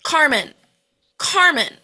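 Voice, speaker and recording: Woman acting a sharp-voiced, bitter, scolding mother. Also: high-pitched, expressive, slow pace, full character voice. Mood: sharp, impatient. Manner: cutting, scolding, dramatic. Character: bitter, volatile, foul-mouthed mother berating her grown children. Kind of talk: script table read.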